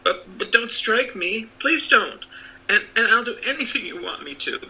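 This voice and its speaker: A man's voice: sits high, very animated, moderate pace, creaky, bright, forceful tone, slight background noise, voice pushed up, exaggerated voice, rough recording. A man voicing a timid little old humbug wizard in a storybook, with a trembling, stammering, pleading delivery. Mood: frightened, pleading.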